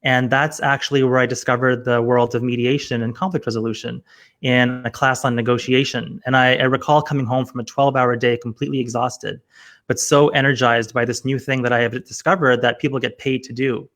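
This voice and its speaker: Earnest middle-aged Canadian man, mid-pitched. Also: little pitch movement, fairly quick, a bit bright. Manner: earnest, lightly humorous storytelling. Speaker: mediator, interview guest. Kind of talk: casual interview answers.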